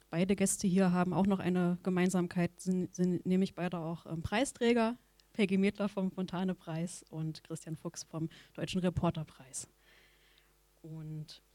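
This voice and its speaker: Woman moderator, mellow voice, dry sound, friendly, some fillers. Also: fairly low pitch, no echo. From Halle, Germany. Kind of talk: panel moderation.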